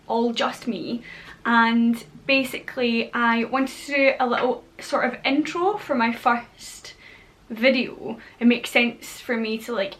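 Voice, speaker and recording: A woman's voice: a little high, fairly bright tone. Bubbly Scottish woman in her thirties. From Scotland. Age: 30s. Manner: chatty and bubbly, with playful whispered asides. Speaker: vlogger. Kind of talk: casual chat to camera.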